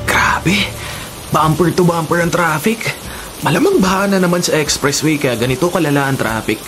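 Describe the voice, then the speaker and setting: A man's voice: on the high side, animated, normal speed, fairly bright tone, faint music behind. A man playing a doubtful, grumbling young travel companion in a radio drama, with a Filipino accent and some English mixed in. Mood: weary grumbling. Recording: faint rain noise behind.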